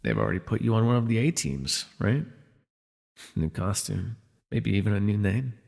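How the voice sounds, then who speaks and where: A man playing a captured telepath supervillain, a sardonic and worldly former mentor, in an immersive audio roleplay, with a husky, gravelly voice and theatrical, wry delivery. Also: lowish voice, very animated, moderate pace, a little mellow, heavily acted. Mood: smug, needling. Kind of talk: immersive ASMR roleplay voice acting. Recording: long reverb, faint music behind.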